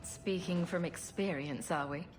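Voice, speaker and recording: A woman's voice: on the low side, unhurried, darkish tone, faint music behind, natural voice. An actress playing a composed female adventurer in a fantasy video game, with a dry, wry manner. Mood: wry, teasing. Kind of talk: dramatic video game acting.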